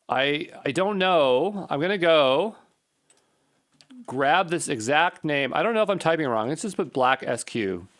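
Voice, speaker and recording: A man's voice: a little high, a bit bright. Man casually thinking aloud while coding. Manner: informal, muttering to himself while working. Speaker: coding tutorial presenter.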